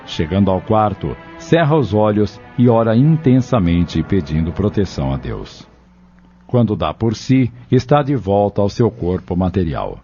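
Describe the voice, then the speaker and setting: A man's voice: fairly low pitch, sing-song, measured pace, mellow. A man narrating a scripted audio novel as its storyteller. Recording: dull lo-fi audio with music behind.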